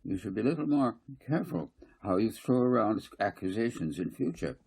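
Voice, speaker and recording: A man voicing a stern, measured council chairman in a storybook, formal and admonishing. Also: fairly low pitch, sing-song, gravelly, a little mellow, slightly rough, voice pushed up, exaggerated voice.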